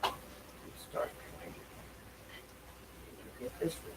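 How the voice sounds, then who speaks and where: Muttering, blunt talker on a noisy recording. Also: slow pace. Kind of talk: casual rambling talk, ranting. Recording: noisy amateur recording with background ambience.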